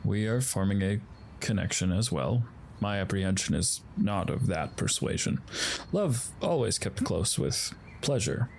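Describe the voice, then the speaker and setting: A man's voice: fairly low pitch, animated, measured pace, gravelly, slight background noise. A man playing a solemn, guarded warrior spirit of emotion in an audio drama, speaking formally and stiffly. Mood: grave, concerned. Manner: formal, stiff, earnest speech.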